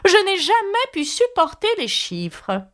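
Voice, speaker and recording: Casting: woman putting on a raspy, harsh old duchess, barking orders and jumping high and low. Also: huge pitch swings, slow pace, fairly bright tone, full character voice. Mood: irritated. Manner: abrupt, snapping, sing-song when chanting. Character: bad-tempered rude old duchess, harsh and bossy. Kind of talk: audiobook character voice.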